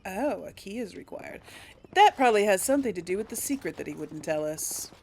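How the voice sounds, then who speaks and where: A woman's voice: medium pitch, expressive, a little fast, slight background noise. A woman hosting a casual video game let's play, thinking aloud as she explores, with faint game sound behind her.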